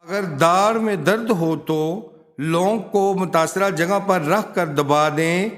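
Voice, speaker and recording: A man reading scripted tips and sayings as a voice-over narrator, in a measured, instructional way. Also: high-pitched, a bit bright. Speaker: narrator of a home remedies and quotes channel.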